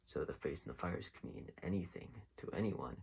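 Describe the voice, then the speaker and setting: A man talks through his commentary in a very low voice with a heavy fry. He hosts casually and without a script, taking his time and often pausing as he rambles from thought to thought. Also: animated, a little mellow. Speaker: host of an internet mystery series. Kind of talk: casual unscripted video commentary.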